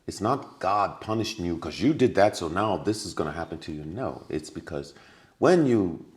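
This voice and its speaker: A man with a very low voice talks as a guest in a podcast conversation. He offers spiritual advice earnestly, landing on key words and repeating phrases to drive them home. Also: lively intonation, normal speed, darkish tone.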